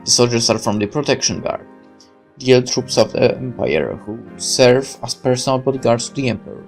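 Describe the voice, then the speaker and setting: Very low-pitched woman reading a game aloud. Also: steady tone, fairly quick, soft background music. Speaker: game player and narrator. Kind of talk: reading visual novel aloud with casual commentary.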